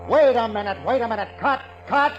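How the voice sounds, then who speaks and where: A man's voice: very high voice, brisk, dull audio, soft background music. A man playing an irritable Hollywood director, giving impatient orders in a 1940s radio drama. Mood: irritated. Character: bossy, irritable male Hollywood film director. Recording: old radio show, restored.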